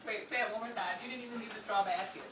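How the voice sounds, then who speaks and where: A woman's voice: medium pitch, very fast. A woman in a cast, casually prompting others during rehearsal. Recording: echoey, distant pickup, faint noise.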